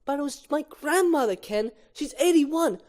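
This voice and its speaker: A man voicing a placating young woman florist from a cartoon, gently reasoning and a little hesitant. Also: very high voice, expressive, normal speed, pitched above the performer's own voice.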